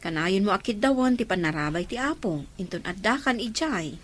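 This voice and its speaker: A woman's voice: medium pitch, lively intonation, brisk, close to an everyday voice. A woman acting as a caring, emotional older sister who frets, in an audio drama. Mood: worried, loving. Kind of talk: Ilocano radio-style drama acting. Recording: faint background ambience noise.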